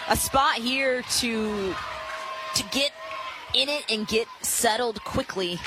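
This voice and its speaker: A woman giving live softball analysis as a radio color commentator, explanatory and opinionated. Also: on the high side, slow, over music, crowd noise, poor audio.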